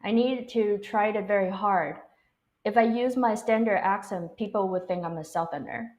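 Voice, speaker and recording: A woman's voice: medium pitch, normal speed, darkish tone. A woman from Taiwan, a native Mandarin speaker, chatting casually on a livestream in English with an American-influenced Taiwanese accent. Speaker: language teacher co-hosting a livestream. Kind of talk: casual live-stream discussion.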